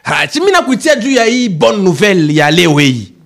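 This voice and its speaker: An actor playing an excitable ordinary man in a radio play, with a creaky voice and a Congolese accent. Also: high-pitched, fairly quick, full character voice. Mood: excited. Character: Kabeya, excitable ordinary man spreading market news. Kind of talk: radio play dialogue in colloquial register.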